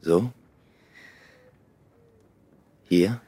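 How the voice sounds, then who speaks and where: An actor playing a cool, coercive police detective in a German radio drama, husky, terse and formally controlled. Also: male voice, deep voice, slow, dark tone, exaggerated voice. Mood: cool, guarded.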